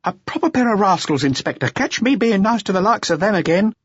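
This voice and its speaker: A man reading an audiobook, putting on the voice of a brash, quick-tempered young woman who is a dancer, speaking high and shrill. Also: lively intonation, slightly rough, dry recording. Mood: indignant. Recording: dull dry lo-fi recording.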